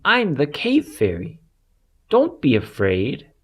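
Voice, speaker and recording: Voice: mid-range voice, wildly swooping pitch, slow pace, slight background noise, pitched above the performer's own voice. A storybook narrator voicing a friendly cave fairy with a kindly, reassuring manner.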